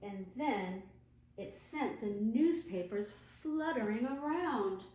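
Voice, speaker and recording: Slow, high-pitched woman storyteller for children. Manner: deliberate, expressive, sing-song delivery for small children. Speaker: children's librarian hosting storytime. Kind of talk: read-aloud storytime for young children. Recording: roomy, echoing room sound.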